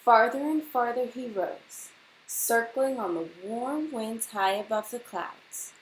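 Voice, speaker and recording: A woman reading a children's storybook aloud like a teacher to a class, expressively and deliberately, putting questions straight to her young listeners. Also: on the high side, a little hiss or hum, low-quality recording.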